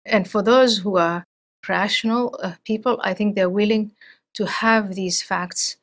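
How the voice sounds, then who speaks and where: A middle-aged woman from Somalia, speaking as an interview guest in a thoughtful, measured way with frequent restarts. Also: medium pitch, steady tone, fairly bright tone. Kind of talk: interview conversation.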